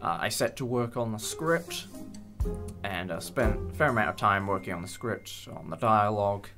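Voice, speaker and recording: Young adult man, slightly low pitch, casual host, hesitant and appreciative, soft background music. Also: animated, moderate pace. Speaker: host and audio drama producer. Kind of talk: casual behind-the-scenes discussion.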